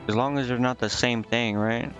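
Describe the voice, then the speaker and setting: A man streaming a game, casually reading on-screen text aloud and thinking out loud in a halting, puzzled mutter. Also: medium pitch, steady tone, highs cut off. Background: game music.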